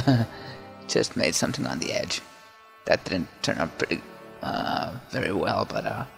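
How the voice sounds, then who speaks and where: Gravelly man, slow hesitant voice-over. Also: medium pitch. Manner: relaxed, hesitant, many fillers and restarts. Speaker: digital artist narrating his speedart. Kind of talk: casual voice-over commentary.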